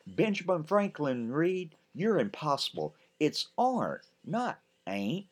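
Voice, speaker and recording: A brisk, proper young southern schoolteacher, voiced by a man reading a story. Her lines rise well above the reader's low voice with lively shifts in pitch. She corrects and scolds firmly. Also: exaggerated voice. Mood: scolding.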